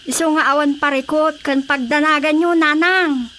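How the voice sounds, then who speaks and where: Woman as high-voiced eager youngster. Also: fairly even intonation, normal speed, sharp tone, faint music behind. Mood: reassuring. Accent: Ilocano. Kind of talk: radio drama acting in Ilocano.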